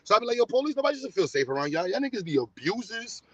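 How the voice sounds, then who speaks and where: A blunt, teasing man talks straight to his viewers on a casual livestream. His voice sits high and carries no room echo, picked up dry and close. The sound is dull and lo-fi. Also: sing-song, very fast. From United States. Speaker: livestream host.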